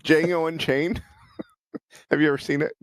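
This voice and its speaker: A man co-hosting a podcast, chatting casually in an excitable, jokey, profane manner. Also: fairly high pitch, normal speed, dry recording. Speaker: podcast co-host. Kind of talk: casual comedic podcast chat.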